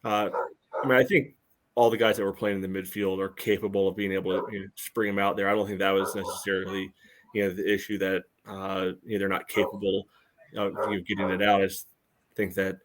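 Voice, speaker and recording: Low, flat-voiced man on a soccer podcast. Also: a little fast, slight background noise. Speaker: sports podcast panelist. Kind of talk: casual soccer analysis chat.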